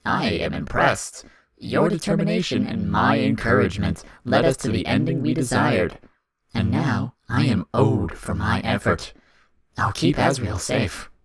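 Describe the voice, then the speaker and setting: A man voicing an eerie, coldly formal demon child, deliberate and menacing, in an audio fiction. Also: fairly low pitch, lively intonation, a loud crowd, voice pushed up, rough recording. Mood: bitter, demanding.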